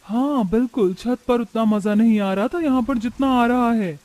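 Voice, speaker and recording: A man acts a brazen young modern daughter-in-law in a family story. The voice is very high and theatrical, full of vanity. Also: moderate pace, darkish tone. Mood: mischievous. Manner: defiant, theatrical.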